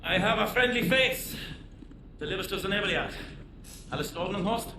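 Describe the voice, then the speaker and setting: A ruthless underground schemer in a game drama. He gives clipped, wry orders in a fairly high, swooping voice, with clear noise behind. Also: animated, moderate pace. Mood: sly, confident. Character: brisk, ruthless male German resistance plotter. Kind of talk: video game drama acting.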